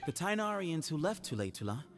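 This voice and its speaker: An actor playing a courteous, thoughtful young leader of a desert temple in a video game, speaking earnestly and formally. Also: male voice, a little high, sing-song, brisk. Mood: storytelling. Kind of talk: scripted video game dialogue. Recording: music behind the voice.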